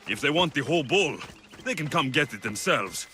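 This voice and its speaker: An actor playing a gruff, weary Greek mercenary in a video game, speaking in a terse, guarded, dry way. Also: male voice, a little high, expressive, normal speed, noisy background, lightly acted. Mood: wry. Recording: loud music under the voice.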